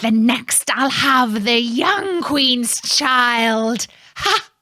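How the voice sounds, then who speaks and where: A woman voicing a gleeful, bargaining, fierce-tempered little goblin man in a children's storybook, with drawn-out, emphatic delivery. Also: fairly high pitch, lively intonation, sharp tone, dry recording, studio quality, voice pushed up, heavily acted. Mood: gleeful boasting.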